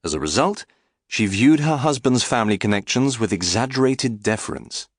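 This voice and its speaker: A man reading a scripted biography as an audiobook narrator, in a measured, articulate and formal way. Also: mid-range voice, animated, dry recording, clean studio sound.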